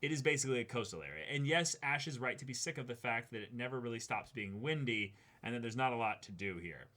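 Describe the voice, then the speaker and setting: A young man co-hosting an unboxing video, chatting casually and reading aloud with an exclamatory, animated delivery. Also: medium pitch, fast talker. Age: young adult. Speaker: co-host of an unboxing show. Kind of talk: casual excited chat with reading a letter aloud. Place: indoor room, seated before a backdrop.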